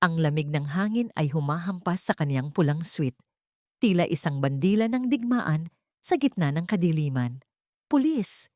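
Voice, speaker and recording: A woman's voice: sits low, animated, normal speed, darkish tone, dry recording, studio quality. A woman reading a dramatic story as a scripted narrator.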